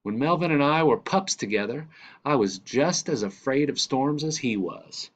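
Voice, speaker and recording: Man acting out a dramatic storytelling grandfather, animated. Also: medium pitch, normal speed, voice pushed up, close to an everyday voice. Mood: dramatic, reminiscing. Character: storytelling grandfather, candid, wry, fond of his grandson.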